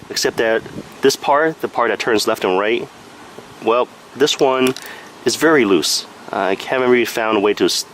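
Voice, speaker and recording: A man with a fairly low voice talks through a gadget demonstration. He is matter-of-fact and explanatory, like a casual reviewer, with a noticeable steady hum behind him. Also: low-quality recording. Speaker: product reviewer. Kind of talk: casual hands-on product review narration.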